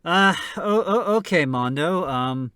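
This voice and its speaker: A man co-hosting a radio show with casual banter, speaking in a hesitant, halting way. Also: fairly high pitch, expressive, pushed and bright, smooth, no room sound, studio quality. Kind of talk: casual scripted banter and introduction.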